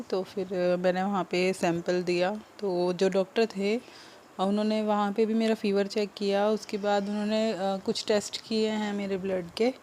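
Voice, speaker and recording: A woman from India, ill with a sore throat, talking to her viewers in a hesitant, subdued way with many pauses and fillers. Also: medium pitch, a little mellow, slight background noise. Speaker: vlogger. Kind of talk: casual vlog update to viewers.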